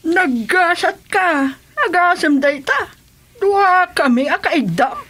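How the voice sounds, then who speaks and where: A woman's voice: very high voice, expressive, slow, fairly bright tone, heavily acted. A woman with an Ilocano accent playing a bossy, brazen female rebel commander in a comedic radio drama. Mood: teasing menace.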